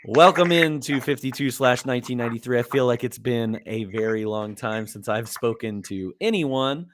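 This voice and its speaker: Kinda hoarse guy hosting a chill podcast, rambly with lots of uhs, dry close sound. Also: medium pitch, normal speed, a bit bright, dry recording. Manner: easygoing, rambling, with many "uh" fillers and restarts. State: a little hoarse. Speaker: podcast host. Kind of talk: casual movie podcast chat.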